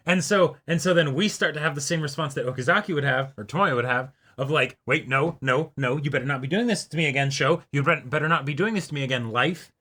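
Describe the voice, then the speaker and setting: A man's voice: on the high side, quick pace, few pauses, pushed and bright. A man co-hosting a reaction show, chatting casually about an anime episode and often affirming and repeating points for emphasis. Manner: agreeable, frequent affirming interjections, repeats for emphasis.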